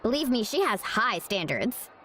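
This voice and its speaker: A woman voicing a spirited, encouraging young woman in a video game, animated and rallying. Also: a little high, moderate pace, background noise.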